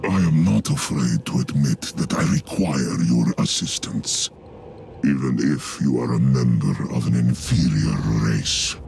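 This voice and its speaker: An actor playing a sinister, condescending alien scientist with a deep, gravelly, drawn-out voice in a video game cutscene. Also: male voice, background noise, exaggerated voice. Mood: scheming. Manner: condescending, grandiose. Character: sinister male alien torturer-scientist, arrogant and cold.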